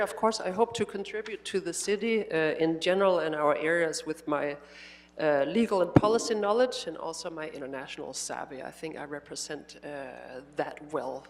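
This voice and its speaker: A woman's voice: low voice, a little fast. A woman who grew up in Denmark gives a spoken statement to a city committee. She keeps stopping to restart her sentences and fills the gaps with small hesitations. A faint hum runs under her voice. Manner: hesitant, many uh fillers and restarts. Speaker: commission nominee. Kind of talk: public statement at a hearing.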